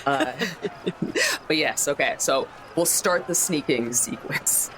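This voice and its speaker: A man's voice: fairly high pitch, wildly swooping pitch, slow pace, a bit bright, poor audio. A man playing a tabletop game, casually narrating a character in a rambling, self-interrupting way. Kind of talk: casual improvised roleplay narration. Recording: faint background noise.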